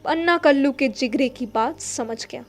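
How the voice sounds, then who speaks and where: A woman's voice: high voice, normal speed. A woman narrating a literary story in a dramatic audio-play style. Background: faint music.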